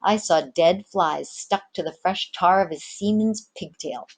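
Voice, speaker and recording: A woman's voice: lowish voice, measured pace, vocal fry, husky. A woman narrating a storybook as a teacher reading aloud.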